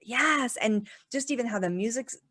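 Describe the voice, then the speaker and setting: A woman's voice: a little high, expressive, moderate pace, crowd noise. A woman as a podcast guest in casual conversation, chatty and full of "like" fillers, laughing often.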